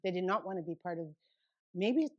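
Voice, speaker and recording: A woman giving a relaxed, conversational lecture, thinking aloud and taking questions. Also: lowish voice, brisk, dull audio. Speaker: guest lecturer. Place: lecture room.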